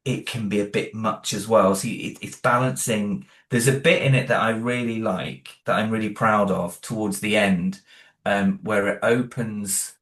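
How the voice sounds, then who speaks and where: A man's voice: on the low side, fairly even intonation, moderate pace, fairly bright tone. A man chatting in an interview about making music and sound for his audio drama, talking loosely with many fillers and restarts. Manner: rambling, many fillers and restarts, self-deprecating. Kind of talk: casual interview chat.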